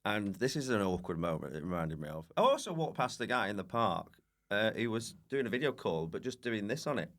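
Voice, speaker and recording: A man's voice: lowish voice, sing-song, a little fast, few pauses, a bit bright. A man chatting casually as a podcast guest, telling rambling, self-deprecating comic anecdotes with a giggly manner. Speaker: guest comedian.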